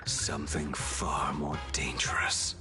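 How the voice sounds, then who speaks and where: An actor playing a grim, world-weary deathless swordsman in a video game, speaking tersely and deliberately in a hushed, breathy voice. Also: extremely low pitch, flat delivery, over music. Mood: brooding, ominous. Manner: terse, brooding, slow and deliberate. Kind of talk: video game voice acting, cutscene dialogue.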